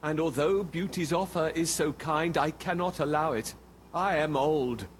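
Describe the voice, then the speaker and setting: A man's voice: a little high, unhurried, slight background noise, lightly acted. An actor playing an anxious, loving old merchant father, pleading and emotional, in a children's fairy tale. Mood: sorrowful. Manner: pleading, emotional, dramatic delivery.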